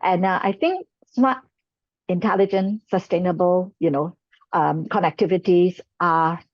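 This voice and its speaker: A woman from Hong Kong speaking as a panelist at an online conference, talking haltingly with many fillers and restarts. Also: fairly low pitch, dry recording, lo-fi sound. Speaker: chief sustainability officer, panelist. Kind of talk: conference panel talk over video call.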